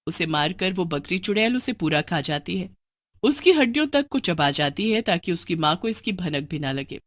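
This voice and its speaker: A woman's voice: on the low side, sing-song, normal speed, no room sound, clean studio sound. A woman narrating a scripted children's story as a storyteller.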